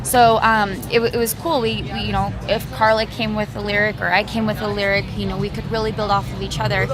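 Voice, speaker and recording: A woman's voice: medium pitch, level tone, fast, a crowd in the background. A woman singer from a band answering interview questions in a loose, rambling way with many fillers.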